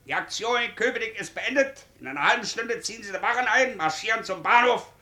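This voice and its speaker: An actor in a 1950s German radio play, playing a dryly cheeky ex-convict posing as an army captain. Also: male voice, sits high, lively intonation, fast, pushed and bright, full character voice. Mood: commanding, brisk. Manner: clipped military orders, dry plain replies.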